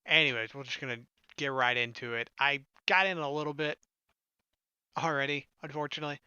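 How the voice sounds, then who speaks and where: A man's voice: on the high side, steady tone, brisk, pushed and bright, clean tone, dry recording, muffled recording. A man hosting a casual let's-play, reading game text aloud and adding joking, sarcastic asides. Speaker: gaming video host. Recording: game music behind the voice.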